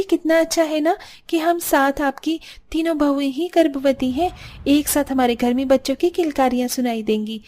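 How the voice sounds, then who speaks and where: Young cartoon daughter-in-law woman acting, very high voice, expressive, respectful and caring. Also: lightly acted. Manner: emotive, respectful.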